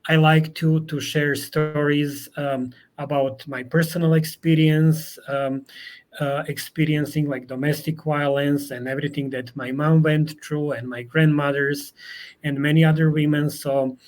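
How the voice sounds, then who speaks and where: A man's voice: on the high side, flat delivery, unhurried, a little mellow. Hesitant man with a Serbian accent. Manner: hesitant, many fillers and restarts. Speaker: guest. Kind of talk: interview answer.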